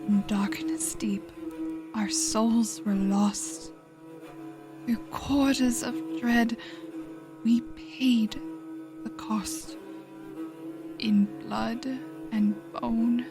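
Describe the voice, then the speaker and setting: A woman playing a gentle, solemn adventurer in a fantasy horror audio drama, earnest and dramatic. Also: mid-range voice.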